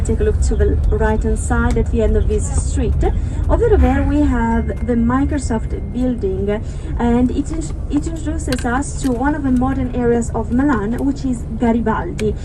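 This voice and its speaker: A woman from Ukraine with an Italian accent, working as a tour guide and explaining sights to passengers over the bus microphone with frequent fillers. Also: a little high. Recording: noisy bus interior. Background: engine and road noise.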